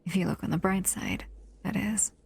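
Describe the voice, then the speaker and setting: A woman playing an affectionate, possessive monster lover in an intimate audio roleplay, speaking in a tender, breathy murmur. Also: medium pitch, sing-song, a little mellow, full character voice. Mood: cozy, intimate. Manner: tender, unhurried, doting murmur.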